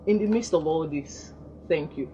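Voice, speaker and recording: A woman's voice: low-pitched, normal speed. A woman from Delta State with a Nigerian accent, a gospel singer speaking earnestly and devoutly as a radio guest. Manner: soft-spoken, earnest, devout. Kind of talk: radio interview. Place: radio studio. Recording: lo-fi broadcast, faint noise.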